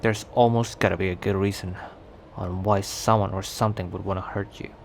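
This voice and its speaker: A man playing a cocky, protective young werewolf stranger in an intimate ASMR roleplay, talking in a teasing, halting conversational way. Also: deep voice, fairly even intonation, slight background noise. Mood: cautioning, earnest. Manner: teasing, breathy sighs and chuckles, halting conversational delivery. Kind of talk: ASMR roleplay acting, intimate one-sided dialogue.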